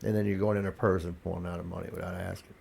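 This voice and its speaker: Man, gravelly, congested, low voice, candid podcast guest. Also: flat delivery, very fast, darkish tone. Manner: candid storytelling with frequent restarts. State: congested, coughing. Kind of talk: casual interview talk.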